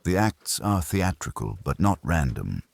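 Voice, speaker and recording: A man's voice: extremely low pitch, sing-song, measured pace, creaky, dry recording, full character voice. A man voicing an imperious, brooding detective genius in an audiobook, formal, curt and given to pronouncements. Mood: imperious, stern. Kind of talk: audiobook character dialogue.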